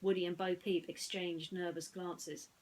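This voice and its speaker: A woman narrating a bedtime story in an expressive, deliberate way, with chatty asides. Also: on the low side, poor audio.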